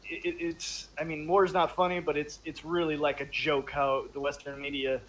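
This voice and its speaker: Halting, slightly high-voiced man on a call. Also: flat delivery, a bit bright, rough recording. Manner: halting, many restarts and fillers. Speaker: podcast panelist. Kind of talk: casual discussion.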